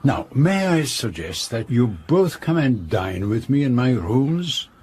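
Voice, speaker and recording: Radio drama casting: precise, wry, middle-aged Belgian sleuth, French-speaking accent, mid-pitched, formal delivery, slight noise behind. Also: male voice, sing-song, slow, darkish tone. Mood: courteous, measured.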